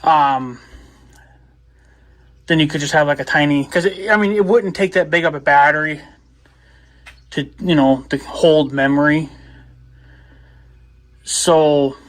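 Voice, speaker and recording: Male vlogger, slightly higher-pitched voice, casual hands-on demo, thinking out loud. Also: unhurried, long pauses. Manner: rambling, thinking aloud. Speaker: video blogger. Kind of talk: casual hands-on gadget demonstration.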